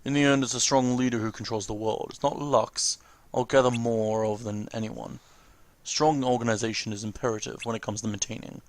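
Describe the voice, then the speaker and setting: A man's voice: medium pitch, steady tone, fairly quick, lightly acted. A man voicing stern, haughty fantasy warriors from a game, reading their dialogue aloud in a declamatory, confrontational way. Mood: stern, challenging.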